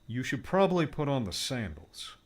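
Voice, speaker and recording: A man's voice: mid-range voice, very animated, normal speed, voice pushed up. A man voicing an upbeat, reassuring male tech mentor who explains gadgets in a cheerful manner. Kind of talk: audiobook character voice.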